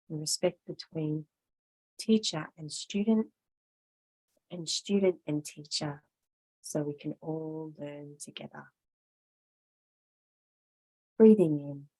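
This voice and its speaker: Slow-speaking woman guiding yoga relaxation. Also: sits low, rough recording. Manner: slow, gentle, measured guiding. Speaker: yoga teacher. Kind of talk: guided meditation, yoga class instruction.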